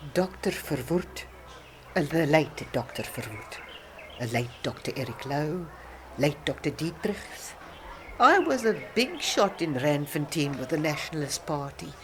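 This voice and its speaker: An actress playing an older South African woman, a proud alcoholic who rambles haltingly and defensively through a radio-play monologue of reminiscence. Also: low-pitched, slight background noise. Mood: boastful.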